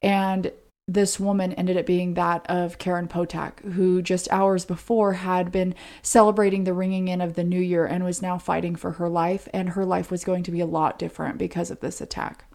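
A woman's voice: lowish voice, level tone, moderate pace, vocal fry, darkish tone. A woman co-hosting a podcast, telling a true-crime story in a conversational way. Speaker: podcast co-host.

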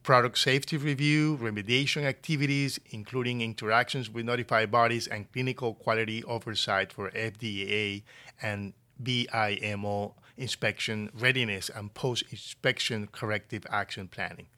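Bright-voiced man reading a podcast intro. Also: fairly low pitch, fairly even intonation, normal speed. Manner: formal, reading out a long guest bio. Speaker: podcast announcer.

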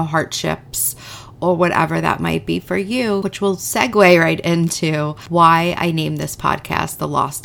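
A woman talks through her solo podcast in a chatty, open, self-deprecating way. Her voice sits low and carries a gravelly, fried edge. A faint hiss sits under the recording. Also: a bit bright. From United States. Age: 40s. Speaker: podcast host and goal coach. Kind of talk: casual solo podcast talk.

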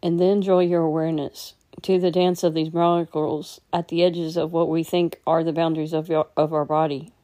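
Low, mellow woman guiding meditation. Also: little pitch movement. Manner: gentle, unhurried, instructing. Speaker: meditation teacher. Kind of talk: guided meditation.

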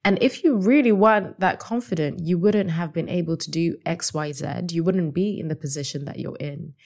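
A woman hosting a casual solo podcast as a coach, her voice slightly raspy, asking the listener reflective questions. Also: on the low side, moderate pace, a little mellow.

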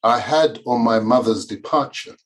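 A man, a Yoruba speaker, reading his own memoir aloud in a measured, formal way. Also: mid-range voice. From Nigeria. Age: 50s. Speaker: author and lawyer.

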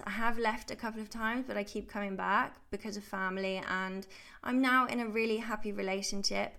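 A woman's voice: medium pitch, bright, forceful tone. A woman in her thirties, a health coach hosting her podcast in a chatty, upbeat way. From United Kingdom. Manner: chatty and upbeat, laughs easily. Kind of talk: casual podcast monologue.

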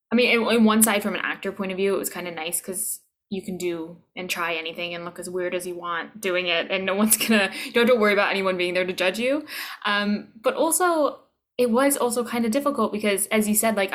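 A woman chatting casually as an interview guest, with emphatic repeats and checks for agreement. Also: medium pitch.

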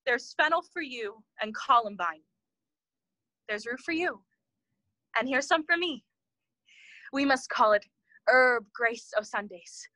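Casting: deranged, sorrowing young noblewoman, highish voice, close dry sound, classical audio drama. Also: lively intonation, takes it slowly, pauses often, pushed and bright, no echo, heavily acted, poor audio. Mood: dazed, sorrowful. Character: mad grieving young noblewoman handing out flowers. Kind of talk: classical stage acting for audio.

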